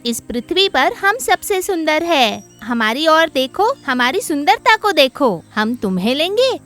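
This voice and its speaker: A woman's voice: high-pitched, fairly bright tone, exaggerated voice. A woman voicing a vain, contemptuous female fish in a children's cartoon story. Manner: contemptuous, haughty. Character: vain, mocking female fish, proud of her beauty. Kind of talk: Hindi children's animated story acting.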